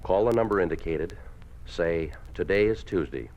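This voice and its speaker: An actor playing a cold, domineering communist official who speaks curtly in a 1950s radio drama. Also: male voice, low-pitched, animated, moderate pace, darkish tone. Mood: commanding. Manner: curt, commanding, interrogating. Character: cold, domineering male Communist Party enforcer. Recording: noisy old 1950s radio broadcast.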